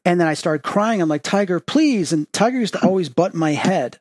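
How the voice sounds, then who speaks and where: A man's voice: high voice, animated, fairly quick, darkish tone, dry recording, clean studio sound. Earnest man hosting a spiritual podcast. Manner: earnest, reflective storytelling. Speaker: podcast host. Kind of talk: casual spiritual podcast chat.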